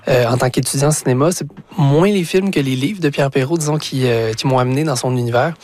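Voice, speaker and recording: A young man with a Quebec French accent answering interview questions, thoughtful and hesitant, with many pauses and fillers. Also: medium pitch, a little mellow, dry recording. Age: young adult. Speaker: doctoral student guest.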